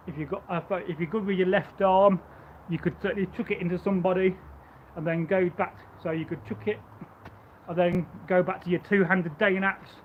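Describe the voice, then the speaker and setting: A man live-streaming as a Viking reenactor, chatting loosely and stammering through restarts with a loud voice, outdoors at home. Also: sits high, phone-quality sound, a little hiss or hum. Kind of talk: casual live stream chat and demonstration.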